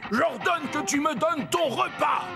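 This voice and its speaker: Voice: sits high, unhurried, vocal fry, over music. An actor playing a bossy, bratty boy king in a children's cartoon, haughty and whiny as he gives orders. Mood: imperious, indignant. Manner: imperious, whiny, shouting orders. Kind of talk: animated children's cartoon dialogue.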